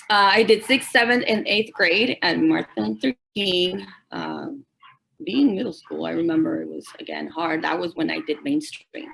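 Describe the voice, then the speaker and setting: Hoarse Spanish-native teacher, woman in her 40s, low and rough, halting delivery with restarts, video call. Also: fairly bright tone, rough recording. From Mexico. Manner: halting, restarts and repeats phrases. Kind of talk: personal story told at a forum.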